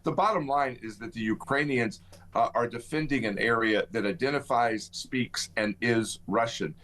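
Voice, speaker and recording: A man's voice: mid-range voice, normal speed, fairly bright tone. A man debating as a guest on a TV panel, insistent and contrarian, pressing his points over others. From United States. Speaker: political commentator guest.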